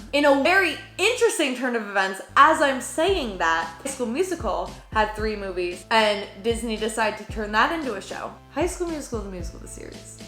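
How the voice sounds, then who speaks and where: A young woman talks straight to the camera in a chatty, animated way, with playful sarcasm running through it. Her voice sits a little high and sounds bright and forceful. It is recorded in an echoey room with faint music underneath. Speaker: vlogger. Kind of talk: casual opinion vlog, ranking shows.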